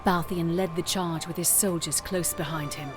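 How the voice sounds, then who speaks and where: Low-voiced woman storyteller over music. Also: steady tone, moderate pace. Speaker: narrator. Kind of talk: scripted dramatic storytelling narration. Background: dramatic background music.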